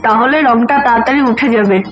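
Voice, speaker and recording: A woman's voice: on the high side, fast talker, soft background music, close to an everyday voice. A woman playing a caring mother in a children's cartoon, instructing in a coaxing, motherly way.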